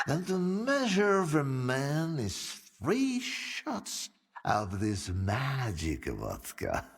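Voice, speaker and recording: An actor playing a boozy, sardonic older scientist in a video game, theatrical and philosophising, with a husky, gravelly voice. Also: male voice, mid-range voice, in a large hall, exaggerated voice. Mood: boozy, charitable. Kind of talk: video game cutscene acting.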